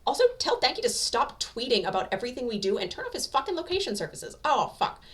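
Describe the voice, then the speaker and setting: A woman voicing a brash, impatient young male anime hero in a fan fiction reading, with a gruff, bossy, profane way of talking. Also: on the high side, sing-song, fast, a bit bright, pitched above the performer's own voice. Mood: urgent, bossy.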